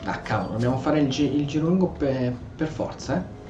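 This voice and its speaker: A man's voice: medium pitch, lively intonation, quick pace, dull audio. A man with an Italian accent giving casual, role-play gameplay commentary, stammering and repeating his words. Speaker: gamer, let's play host. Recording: echoey room, game music behind. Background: video game music.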